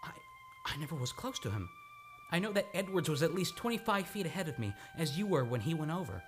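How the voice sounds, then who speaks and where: An actor playing an earnest, tender man haunted by returning memories, with halting, dramatic delivery in an old-time radio play. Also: on the high side, very animated. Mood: agitated. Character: haunted male amnesia patient recovering his memory, tender and earnest. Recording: studio radio play with music behind.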